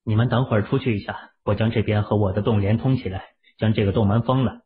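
A man voicing a calm, matter-of-fact young hero, speaking briskly. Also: fairly low pitch, close to an everyday voice. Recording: tinny phone-like audio.

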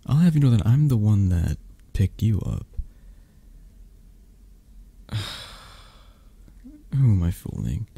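Husky, gravelly low-voiced tender mafia boss played by a man. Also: sing-song, slow pace, pauses often, full character voice. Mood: mock reluctant. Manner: soft, hesitant, murmuring, breathy. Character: possessive, tender male mafia boss lover. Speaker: ASMR roleplay performer. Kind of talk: intimate boyfriend-roleplay ASMR.

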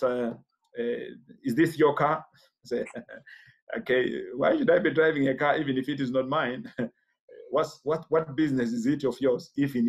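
Middle-aged pastor acting out his stories. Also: medium pitch. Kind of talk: personal testimony on a video call. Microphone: video call.

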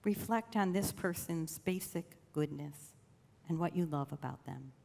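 A woman leading a guided meditation in a slow, gentle, soothing way with long pauses. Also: deep voice, vocal fry. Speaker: church meditation leader. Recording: long reverb of a large room.

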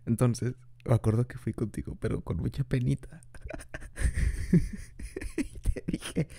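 A man's voice: medium pitch, measured pace, vocal fry, mellow, husky, no echo. A young man improvising an ASMR boyfriend roleplay, rambling chattily and laughing often into a close mic. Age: young adult.

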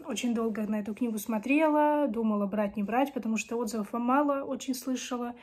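A woman's voice: on the high side, fairly quick, a little mellow. A woman in her twenties chatting casually to camera as a book vlogger, her voice strained by a cold and sore throat. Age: 20s. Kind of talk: casual chat to camera about books. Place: small home room with bookshelves.

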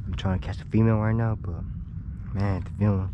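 A young man giving casual, excitable running commentary while fishing outdoors at a pond, talking into an action camera and filling his talk with "dude" and "man". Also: fairly low pitch, fast, highs cut off, noisy background. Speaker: fishing vlogger.

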